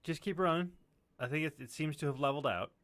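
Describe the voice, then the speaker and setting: A man's voice: on the high side, fast, a bit bright, no echo. A man co-hosting a live stream who runs the tech and chats in a laid-back, reassuring way. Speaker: co-host running the stream. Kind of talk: casual live-stream chat.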